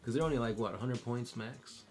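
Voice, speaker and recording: A man's voice: mid-range voice, fairly quick, darkish tone, faint music behind. A man co-hosting a casual chat and reading viewer questions, easygoing and thinking aloud with uhs.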